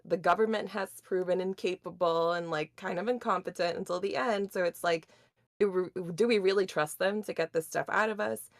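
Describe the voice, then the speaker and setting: A woman's voice: lowish voice, fairly even intonation, moderate pace, dry recording, studio quality. A woman chatting casually and speculating as a guest on a panel.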